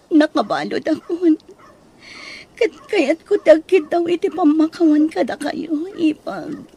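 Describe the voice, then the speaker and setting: Woman acting really high creaky voiced daughter-in-law in ilocano radio drama, sorry and pleading, ilocano accent. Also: darkish tone, exaggerated voice. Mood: remorseful, pleading. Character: remorseful jailed daughter-in-law pleading for forgiveness.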